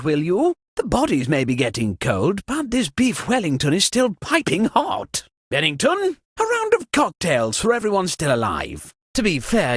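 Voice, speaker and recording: A man's voice: sits high, slightly rough, no room sound, studio quality, heavily acted. A man voicing a pompous, flippant wealthy lord hosting a murder-mystery party in a comedic video game, with theatrical, mock-genteel delivery. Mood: breezily callous.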